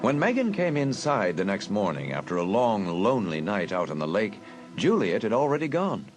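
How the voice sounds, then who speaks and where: A man narrating a dramatised audiobook in an expressive storytelling manner. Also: lowish voice, moderate pace, music in the background.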